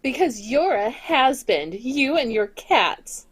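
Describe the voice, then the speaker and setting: A woman acting out a proud circus star in a 1940s-style radio play, indignant and taunting. She has a high voice with lively swings in pitch. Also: slow, breathy edge, exaggerated voice.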